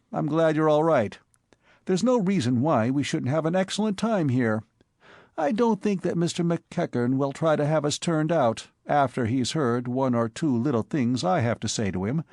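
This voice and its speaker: A man voicing a calm, witty young gentleman and former burglar with dry, ironic speech. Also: a little high, moderate pace, dry recording.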